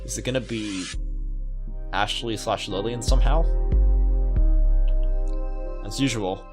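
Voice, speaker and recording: A man's voice: on the low side, slow pace. A man doing casual video game commentary, reading text aloud and rambling off into his own musing asides. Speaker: video game let's player. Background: game music.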